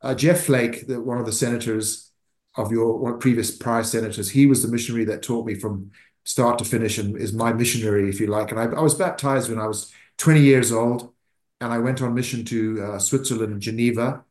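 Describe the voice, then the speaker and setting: A middle-aged man with a blended Irish, English and South African accent speaks as an interview guest. He tells his story earnestly, often restarting his sentences. The voice sits at an ordinary pitch, and it carries a noticeable room echo. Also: steady tone, brisk, darkish tone. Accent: mixed Irish, English and South African. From Zimbabwe. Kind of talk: casual conversation. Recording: roomy echo.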